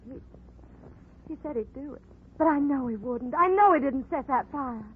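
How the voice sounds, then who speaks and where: A woman's voice: high voice, animated, moderate pace. An actress playing an earnest young woman in a dramatic 1940s radio play. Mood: anxious, pleading. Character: earnest pleading young woman, anxious for her father. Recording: noisy, tinny 1940s radio recording.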